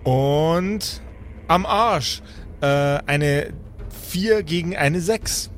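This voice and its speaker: A man's voice: on the high side, drawn-out words. A man in casual table talk as a player in a role-playing game.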